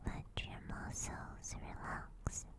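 Woman whispering very slowly, ASMR. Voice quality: whispery, breathy. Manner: slow, gentle, soothing, lingering pauses. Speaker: ASMR streamer and host. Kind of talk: ASMR guided meditation. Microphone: close binaural microphone.

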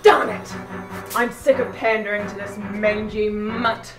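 A woman's voice: medium pitch, fairly even intonation, measured pace, a bit bright, lightly acted. A woman playing an impatient, menacing alien supreme leader in a superhero spoof film, theatrical and contemptuous. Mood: irritated. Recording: music under the voice.

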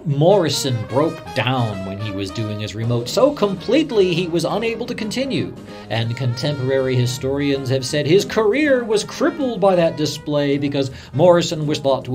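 Man playing a lively radio newsman reminiscing over music. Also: fairly high pitch, moderate pace. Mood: indignant, reflective. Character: male radio newsman recalling his career, earnest, indignant. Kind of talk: dramatised first-person recollection.

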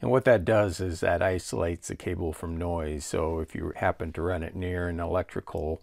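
A man's voice: sits low, steady tone, moderate pace, creaky, darkish tone, no room sound, studio quality. A man giving a casual, explanatory product demonstration in a plain-spoken, matter-of-fact way.

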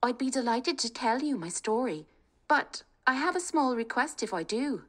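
A woman voicing a clever, bold young peasant woman, talking in a lively, persuasive way. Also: a little high, moderate pace, voice pushed up. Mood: coaxing.

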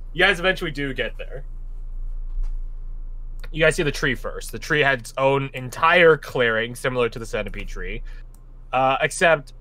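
A man with a bright, forceful voice runs a tabletop game over voice chat. He talks in a loose, rambling way, stopping to hunt for words as he sets the scene. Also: a little high, dry recording. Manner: rambling, casual, searches for words. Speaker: game master.

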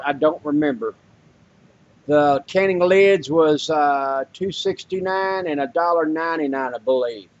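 Folksy older man, slow talk. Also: on the high side. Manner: rambling, folksy, many "uh" fillers. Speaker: home canning vlogger. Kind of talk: casual home vlog. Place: small home room indoors. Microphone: webcam or handheld camera. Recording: dull lo-fi home camera audio.

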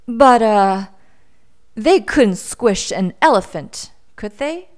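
A woman's voice: a little high, expressive, slow. A woman voicing a friendly, easily frightened male elephant in a storybook, gulping and hesitant. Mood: anxious.

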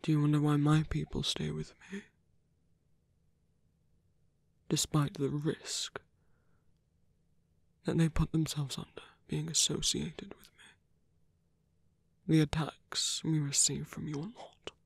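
A man playing a seductive, calm villain leader in an ASMR roleplay, breathy and intimate as he slowly coaxes a captive hero. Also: a little high, no room sound, exaggerated voice. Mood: coaxing, probing. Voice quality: breathy, husky, often whispering. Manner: slow, intimate, persuasive. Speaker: voice actor. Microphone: close, dry microphone.

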